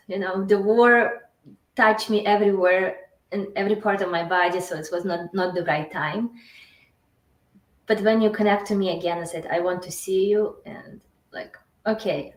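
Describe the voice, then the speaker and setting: Ukrainian lady, interview guest, choppy english w lots of uhs, echoey room. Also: mid-range voice. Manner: halting non-native English with many fillers. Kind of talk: casual interview.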